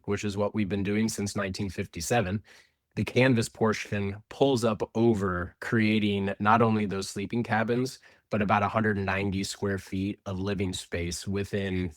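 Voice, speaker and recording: Low-voiced Midwestern man explaining. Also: steady tone, moderate pace, no echo. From Indianapolis, USA. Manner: explanatory, restarts often. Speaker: company representative. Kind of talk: interview answers.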